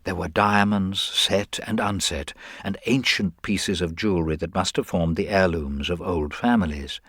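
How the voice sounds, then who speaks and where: A man giving a dramatic, expressive narration of a crime story. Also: sits low, moderate pace, slightly rough, dry recording, studio quality.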